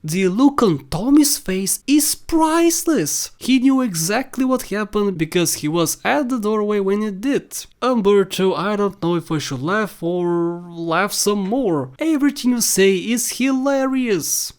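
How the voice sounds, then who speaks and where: A man's voice: sits high, lively intonation, a little slow, few pauses, a little mellow, clear, resonant tone. A man hosting a casual video game countdown, commenting in an informal, jokey and emphatic way. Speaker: video host.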